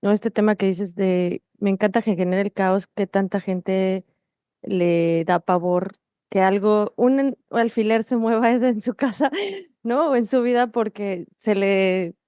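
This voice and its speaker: A woman's voice: mid-range voice, a little slow, warm tone, smooth, no echo, studio quality. A woman with a Mexican accent hosting a casual interview, phrasing things hesitantly with frequent tag questions. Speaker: podcast host interviewer.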